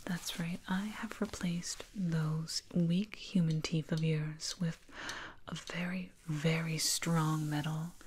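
A woman playing a soothing, proud alien dentist in an ASMR roleplay, whispering gently and repetitively up close. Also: low-pitched, fairly even intonation, takes it slowly. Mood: proud, pleased. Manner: gentle, repetitive, reassuring murmur. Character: soothing, proud alien dentist with eerie delight in sharp teeth. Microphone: binaural mic, up close. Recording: close binaural mic, clear noise.

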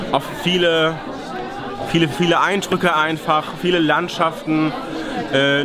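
A man in his thirties, a choir director, chatting enthusiastically in a church hall, filmed up close. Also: fairly high pitch. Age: 30s. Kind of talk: casual interview. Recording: crowd chatter behind. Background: chattering crowd.

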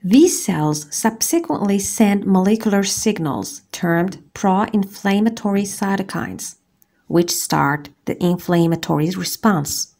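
Low, gravelly-voiced woman lecturing. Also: a little mellow. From Algeria. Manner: measured, deliberate, precise enumeration. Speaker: gynecologist lecturer. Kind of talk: scripted medical lecture voice-over. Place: voice-over on slides.

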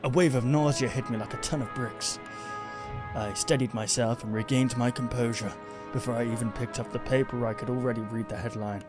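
A rain-soaked crime game's narrator, a haunted detective acted by a man brooding in measured lines over the soundtrack. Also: mid-range voice, steady tone, over music, natural voice. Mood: grim, anxious. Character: brooding, haunted noir male detective, self-doubting monster.

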